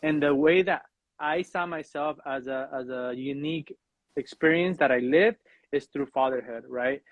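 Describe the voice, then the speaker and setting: A man talking as a podcast guest in a reflective, earnest, halting way, often checking in with "you know" and "right?". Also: mid-range voice, dry recording, narrow, tinny audio. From Mexican background. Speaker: podcast guest, fatherhood coach. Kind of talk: reflective personal conversation.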